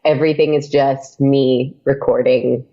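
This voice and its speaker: A woman's voice: rumbling low, flat delivery, measured pace, mellow, highs cut off. A woman guest on a podcast talking casually about making her audio drama, hesitant with many fillers and restarts. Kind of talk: casual interview chat.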